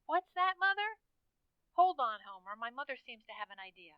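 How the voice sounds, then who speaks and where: Woman as sweet teen girl, very high, chirpy, gushing, dull phone sound, radio comedy. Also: big pitch swings, fairly quick, clean tone, dry recording. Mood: apologetic. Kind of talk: old-time radio comedy acting.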